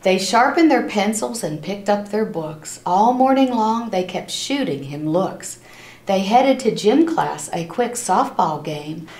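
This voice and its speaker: Slow-paced woman reading aloud, roomy echo. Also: mid-range voice. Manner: animated, acts out characters' lines, pauses to ask listeners questions. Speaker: reader of a children's picture book. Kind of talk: expressive read-aloud storytelling for children. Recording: roomy, echoing room sound.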